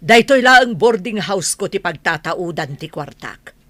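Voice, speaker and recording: A woman's voice: fairly low pitch, lively intonation, unhurried, fairly bright tone, no room sound. A woman with an Ilocano Filipino accent acting a stern, scolding older village woman in a radio drama. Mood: stern, demanding.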